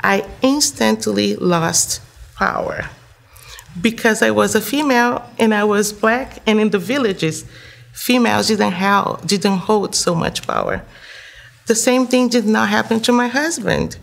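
A woman's voice: mid-range voice, big pitch swings, measured pace, creaky. A woman, a psychotherapist and humanitarian, talking thoughtfully with frequent fillers and restarts. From Brazil. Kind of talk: reflective interview talk.

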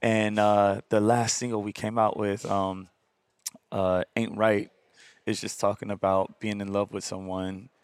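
A man with a raspy voice, talking as a podcast guest in casual, animated chat, repeating words and fillers as he goes. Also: on the low side, unhurried, no echo. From Hawaii. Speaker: podcast guest, dancer and singer.

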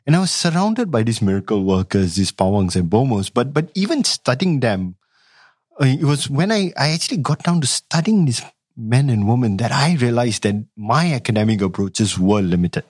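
Male academic from Singapore, reflective interview talk, animated intonation, dry close sound. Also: mid-range voice, moderate pace, dry recording. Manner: thoughtful, many restarts and hedging fillers. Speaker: academic researcher. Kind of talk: interview answers.

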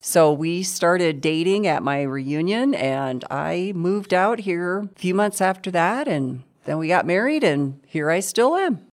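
A woman's voice: on the low side, lively intonation, a little slow, hardly any pauses. A woman in her sixties from South Dakota, a city council member answering interview questions in a friendly, chatty way. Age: 60s. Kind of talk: conversational interview.

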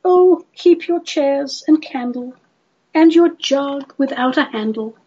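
A woman voicing a sorrowful, fretful married lady in a comic poetry recital, theatrical, plaintive and drawn-out. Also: sits high, mellow. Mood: apologetic. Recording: dull lo-fi recording.

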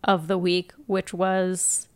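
A woman's voice: lowish voice, monotone, slow pace, a little mellow, smooth. A woman co-hosting a podcast in casual chat, with wry, dry joking. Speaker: podcast co-host.

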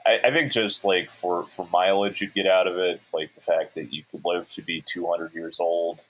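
A man with a deep, low-pitched voice, chatting casually among friends. He muses in a low-key, halting way, feeling his way through each thought. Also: steady tone, fairly bright tone, low-quality recording.

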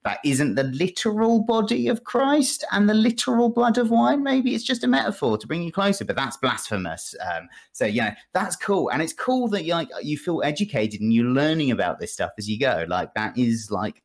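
A man's voice: fairly high pitch, big pitch swings, normal speed, few pauses. A man chatting casually as a guest on a gaming podcast, explaining things in a jokey, rambling way.

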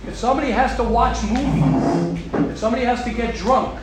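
A man teaching a group of young men, talking forcefully and repeating his points for emphasis. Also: very high-pitched, a little fast. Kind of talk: emphatic religious talk to a group. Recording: very roomy echo with faint background hum.

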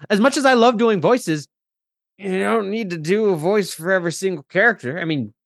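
A man chatting casually as a podcast guest, animated and thinking aloud as he goes. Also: high voice, a little fast, creaky, no room sound. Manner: animated, thinks aloud about character voices. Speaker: podcast guest, tabletop role-player. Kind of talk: casual chat.

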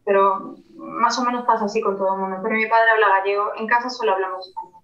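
A woman's voice: medium pitch, fairly even intonation, fast. A young woman with a Spain Spanish accent, telling her story steadily as an interview guest. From Vigo, Galicia, Spain. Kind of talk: casual conversational interview. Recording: echoey, dull remote audio.

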